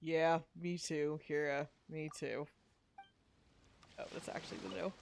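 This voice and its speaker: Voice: medium pitch, fairly even intonation, a little slow, gravelly, a little hiss or hum. A podcast host chatting casually on a livestream in an informal, jokey way. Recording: music behind the voice. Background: music.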